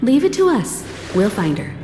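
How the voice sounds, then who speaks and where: A self-assured woman adventurer, in a game cutscene. Her fairly high, animated voice is supportive and playful, with echo and clear music behind. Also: moderate pace. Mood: reassuring. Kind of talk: video game cutscene acting. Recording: echoey hall, music behind.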